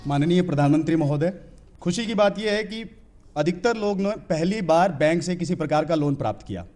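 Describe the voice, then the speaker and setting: A man's voice: fairly high pitch, expressive, fairly quick, a little mellow, faint music behind. A man giving a formal presentation as a civil servant.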